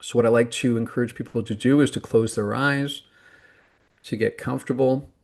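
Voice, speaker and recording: A man with a medium-pitched voice leads a guided meditation. He speaks in a calm, deliberate way, as a teacher would, breaking his instructions into short phrases and leaving pauses between them. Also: gravelly.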